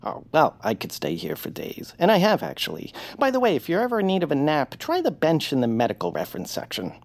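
A man's voice: fairly high pitch, wildly swooping pitch, fast talker, creaky, heavily acted. A man voicing a fussy, anxious, bookish peepkin in a fretful, theatrical way for an audio comedy. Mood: excited, chatty. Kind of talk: audio fiction comedy acting.

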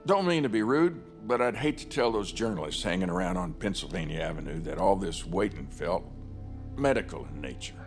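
An actor playing a sly cowboy senator in an audio drama, courtly and folksy on the surface, with a needling menace. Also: male voice, sits low, animated, a little fast, vocal fry, faint music behind. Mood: politely suspicious.

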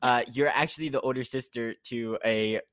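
A chatty interview host who asks long, meandering questions full of fillers. The voice sits at a middle pitch. It is recorded close, in a dry room with no echo. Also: steady tone, moderate pace, fairly bright tone. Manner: chatty and rambling, with many fillers. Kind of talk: casual interview chat.

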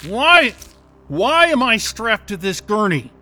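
A man's voice: high voice, sing-song, slow, bright, forceful tone, soft background music, exaggerated voice. An actor playing a frightened, confused male captive waking from sedation in an audio drama. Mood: confused, panicked.

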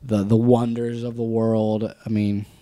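A man's voice: on the low side, flat delivery, a little slow, dark tone, dry recording. A man in his twenties co-hosting a podcast in casual chat, laughing often and acting out quotes. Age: 20s. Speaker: podcast co-host.

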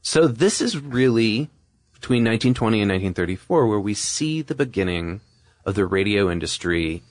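A man with a low voice talks through history as a podcast co-host. He explains things casually and discursively, in a conversational style. The sound is dry, with very little room in it. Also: expressive, normal speed, no room sound.

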